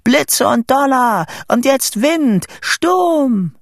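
Excited young boy, played by a male reader, dramatic audiobook dialogue, raised high, loud exclamations. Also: very slow, creaky, dry recording, studio quality, full character voice. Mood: wild, exultant. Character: excited boy shouting a dramatic eyewitness report.

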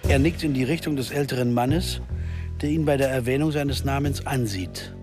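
Guy acting sober sleuth narrator for a radio crime play, deliberate, normal pitch, music under the voice. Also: natural voice. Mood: brooding, observant. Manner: understated, deliberate, noir-like storytelling. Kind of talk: first-person radio crime narration and dialogue.

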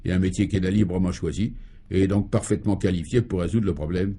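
A man's voice: sits low, steady tone, quick pace, dark tone, husky. A man voicing a talkative, worldly male friend and guide in a comic radio serial, emphatic and mock-formal. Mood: persuasive.

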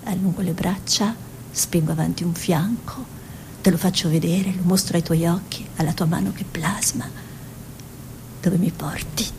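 Woman acting a young country girl, dreamy n proud, poetic radio monologue, bit of background rumble. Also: steady tone, moderate pace, natural voice. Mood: playful, proud. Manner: incantatory short phrases with hushed repetitions. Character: Pasqua Rosa, dreamy, proud young peasant girl artist's model. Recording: noisy recording with low rumble.

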